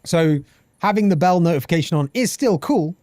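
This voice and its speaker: A man's voice: on the high side, fairly quick, no room sound, clean studio sound. A British man in his thirties chatting casually on a game live stream, swearing freely and reading chat aloud. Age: 30s. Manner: animated, swearing, reads chat aloud and laughs often.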